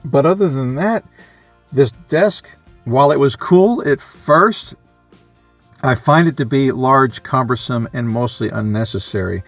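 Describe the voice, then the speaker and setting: Guy just rambling casually for a vlog, mid pitch, really dry sound, bit of music. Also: a little slow, dry recording. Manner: rambling, thinking aloud, matter-of-fact. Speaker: vlogger, amateur radio hobbyist. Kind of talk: casual unscripted vlog talk.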